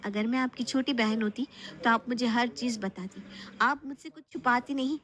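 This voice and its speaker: A woman's voice: a little high, moderate pace, a bit bright, pitched above the performer's own voice, close to an everyday voice. A woman voicing a resentful young sister-in-law in a family story, indignant and reproachful. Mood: resentful, accusing. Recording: clear background noise.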